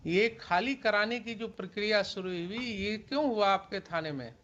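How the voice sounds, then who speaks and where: A man's voice: high voice, moderate pace, a bit bright. A man with an Indian accent questioning someone in court as a judge, in an authoritative, interrogating manner. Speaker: judge questioning a police officer. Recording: muffled courtroom stream with clear noise.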